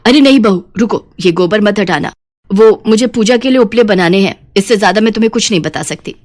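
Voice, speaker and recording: A woman's voice: medium pitch, lively intonation, a little fast, close to an everyday voice. Woman acting older mother-in-law, village cartoon story, greedy, scheming, pushy, wheedling, self-satisfied. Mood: defensive.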